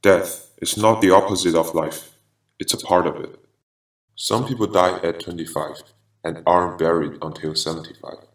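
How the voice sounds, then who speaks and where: A man voicing a vengeful half-machine former attorney in a grave, deliberate, ominous horror game monologue. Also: low-pitched, steady tone. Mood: ominous, philosophical. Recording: echoey, distant-sounding voice.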